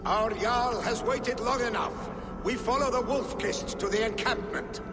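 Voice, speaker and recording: An actor playing a dutiful Norse warrior guide in a video game. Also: male voice, very high voice, measured pace. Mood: earnest. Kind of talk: cinematic game acting. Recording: long reverb, music behind.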